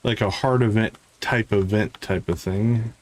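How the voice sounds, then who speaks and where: A man giving casual live video game commentary, chatting and thinking out loud. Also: lowish voice, steady tone, measured pace. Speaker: gaming streamer.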